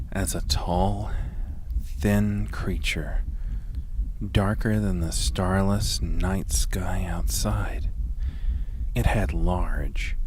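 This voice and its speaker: A man narrating a scripted first-person horror story as a dramatic, measured storyteller. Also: sits low, noisy background.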